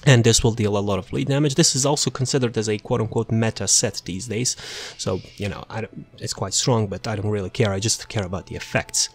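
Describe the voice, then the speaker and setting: A man's voice: on the low side, brisk, few pauses, breathy edge. A man casually talking through a role-playing game character build, rambling and offhand, with self-deprecating asides. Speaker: game build guide creator. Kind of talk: casual game guide walkthrough.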